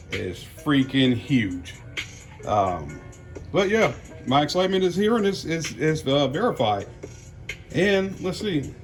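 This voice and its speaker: An American man giving casual unboxing commentary as a car audio vlogger, talking in a folksy, slangy way. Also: medium pitch.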